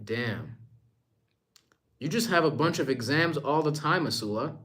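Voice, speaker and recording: A man's voice: fairly high pitch, lively intonation, measured pace, fairly bright tone. Casual young man chatting on a live stream. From Rwanda. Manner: informal, slangy, repeats himself.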